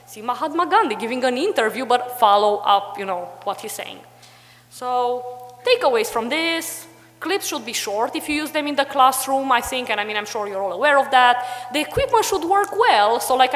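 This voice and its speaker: A woman's voice: high-pitched, a bit bright, in a large hall. A young woman from Transylvania giving a chatty conference talk about teaching history, full of fillers. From Romania, Transylvania. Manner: chatty, many fillers like "like" and "you know". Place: hotel conference room.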